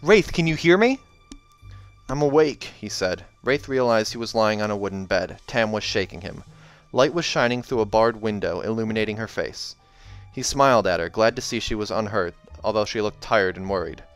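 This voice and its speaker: A man with a fairly low voice reads a fantasy novella aloud as host, putting expression into the narration and dropping in casual asides. Light game soundtrack music plays behind him. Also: a little fast, few pauses, fairly bright tone, clear, resonant tone. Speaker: host reading aloud and reacting. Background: video game soundtrack music.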